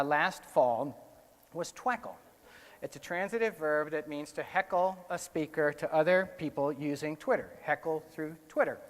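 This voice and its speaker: A man's voice: high-pitched, a bit bright. American man presenting in an echoey hall. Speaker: conference keynote speaker. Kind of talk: conference presentation on research findings. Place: indoor conference hall, on stage. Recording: echoey hall with long reverb.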